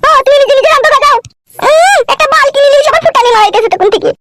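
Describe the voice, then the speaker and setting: Squeaky, rapid-fire Bengali cartoon village wife. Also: female voice, expressive, very noisy. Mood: nagging, mocking. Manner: bickering, taunting, sassy. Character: sharp-tongued, nagging, flirtatious village wife. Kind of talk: comic cartoon dialogue.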